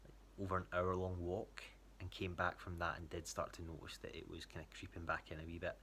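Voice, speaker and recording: A man's voice: low voice, flat delivery, brisk, pushed and bright, a little hiss or hum. A Scottish man giving a casual spoken check-in, talking in a hesitant, rambling way. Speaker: athlete giving a training check-in to a coach.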